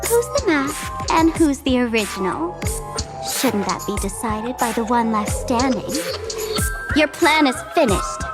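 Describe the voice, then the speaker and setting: A woman's voice: high voice, sing-song, measured pace, music in the background. A woman playing a mischievous, smug young female trickster in a video game, with a teasing, theatrical manner. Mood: sly, challenging. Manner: teasing, theatrical, speaks of herself in third person. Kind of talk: video game character acting.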